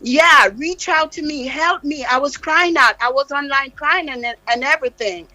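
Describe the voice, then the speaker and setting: Passionate high-voiced Jamaican woman. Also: moderate pace, pushed and bright, dry recording, muffled recording. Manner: passionate, insistent, emphatic. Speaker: interview guest. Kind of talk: heated interview talk. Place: radio studio.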